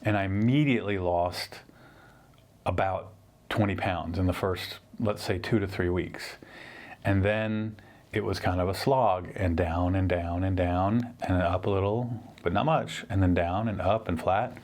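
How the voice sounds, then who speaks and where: A man's voice: low voice, steady tone, unhurried, darkish tone. A man in his fifties, a surgeon hosting his own channel, giving an earnest, rambling personal update with frequent restarts. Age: 50s. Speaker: orthopedic surgeon and channel host. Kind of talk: casual personal update to camera.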